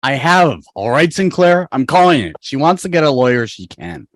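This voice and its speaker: Brief: performer as protective young man, brusque, urgent, widely swinging pitch, dry video-call sound. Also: big pitch swings, moderate pace, dry recording, close to an everyday voice. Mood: impatient. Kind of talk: fan table read acting.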